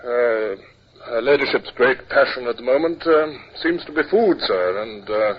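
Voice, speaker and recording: An actor playing a stiff, dutiful British naval captain, formal and halting, in 1950s radio drama style. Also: male voice, medium pitch, natural voice. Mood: deferential, awkward. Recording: dull, noisy 1950s radio recording.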